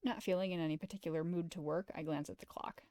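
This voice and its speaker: A woman streamer reading a visual novel aloud as expressive first-person story narration. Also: lowish voice, quick pace, dry recording.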